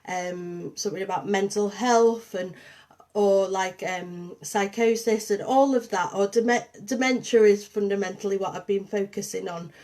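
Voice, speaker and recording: A woman with a Liverpool accent touched by Yorkshire, hosting a casual livestream and chatting warmly to viewers with lots of ums. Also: mid-range voice, normal speed. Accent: Liverpool and St Helens with a touch of Yorkshire. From Liverpool, England. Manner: chatty, warm greetings to viewers, many ums. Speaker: artist and programme coordinator hosting a livestream.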